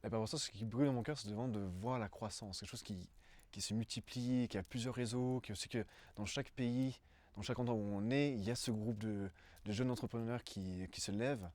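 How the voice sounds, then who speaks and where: A young man in his twenties from Brussels shares his experience in an informal interview. His voice sits a little low and is recorded very dry. He speaks haltingly, often repeating words as he looks for the next one. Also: darkish tone, no echo. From Brussels, Belgium. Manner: hesitant, searching for words, repeating himself. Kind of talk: casual testimony in an interview.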